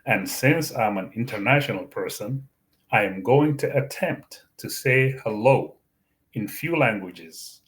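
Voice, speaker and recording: A man whose first language is Arabic hosts his own channel, giving a Bible teaching talk in a slow, deliberate way with many fillers and restarts. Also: medium pitch, animated, fairly bright tone. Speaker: YouTube channel host.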